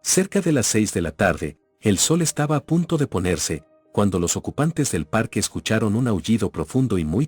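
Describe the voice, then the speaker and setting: A man narrating scripted horror stories in a measured, ominous storytelling manner. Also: sits low, a little mellow, husky, no room sound. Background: background music.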